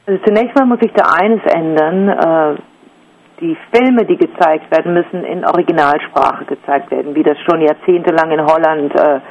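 A German woman in her forties answering interview questions thoughtfully, pausing often with fillers and restarts. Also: low voice, darkish tone, lo-fi sound. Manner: hesitant, many fillers and restarts. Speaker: interview guest, artist.

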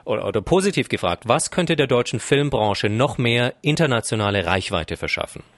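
A man conducting an interview and reading formal, prepared questions in a polite, measured way. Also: mid-range voice, lively intonation, a bit bright, no room sound.

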